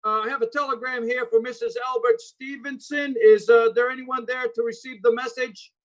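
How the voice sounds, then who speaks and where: A woman's voice: a little high, little pitch movement, normal speed, muffled recording, natural voice. A woman playing a polite, matter-of-fact telegraph clerk in an old-time radio drama, formal and slightly hesitant, with clipped phrasing. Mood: businesslike, neutral.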